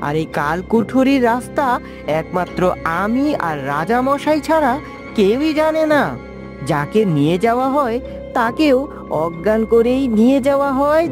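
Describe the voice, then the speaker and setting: Cartoon palace minister played by a woman: sly schemer, measured delivery with dramatic swoops, over prominent music. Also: on the high side. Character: scheming royal minister, cold and sly plotter. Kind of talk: animated fairy-tale cartoon acting.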